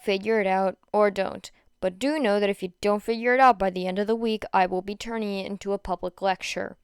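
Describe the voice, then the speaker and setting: A weary, unimpressed male teacher, as a woman narrator puts him on in a fan fiction reading. The delivery is dry and deadpan, the sound clean and close with no room echo. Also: medium pitch, brisk, smooth, lightly acted. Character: tired, deadpan male high school teacher.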